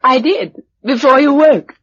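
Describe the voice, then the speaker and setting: An actress playing a plucky, compassionate survivor of a disaster, earnest and well-spoken, in a vintage radio play. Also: female voice, high-pitched, a little slow, a bit bright, breathy edge, full character voice. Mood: brisk, curious. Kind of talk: vintage radio drama acting. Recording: muffled, noisy old radio drama recording.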